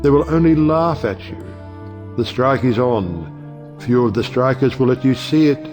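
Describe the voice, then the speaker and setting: An actor playing a wry, provocative middle-aged judge and uncle in an audio play, speaking in a rhetorical, oratorical way. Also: male voice, mid-range voice, unhurried, darkish tone, lightly acted. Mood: impassioned, scornful. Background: music.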